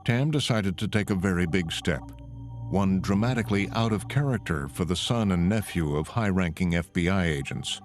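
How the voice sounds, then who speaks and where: Low-voiced American documentary narrator, male. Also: animated, normal speed. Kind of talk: scripted narration. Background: background music.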